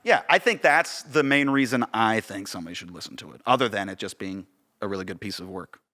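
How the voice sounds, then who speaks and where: A man's voice: on the low side, animated, fast, bright, forceful tone. A man with an American accent talking casually on a podcast as co-host, often searching for words and repeating phrases.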